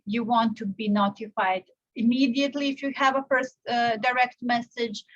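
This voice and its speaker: A young woman from Serbia giving a live online software tutorial, explaining step by step with frequent fillers and restarts. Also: on the high side, highs cut off. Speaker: teacher presenting a software tutorial. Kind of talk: live tutorial with screen share.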